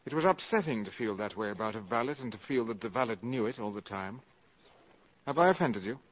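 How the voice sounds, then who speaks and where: An actor playing a calculating, ambitious valet in a 1950s radio drama, speaking formally and deferentially but with self-assurance. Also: male voice, medium pitch, expressive, quick pace, natural voice. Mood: bold, intimate. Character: smooth, calculating, ambitious valet addressing a countess. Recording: muffled vintage 1950s radio recording.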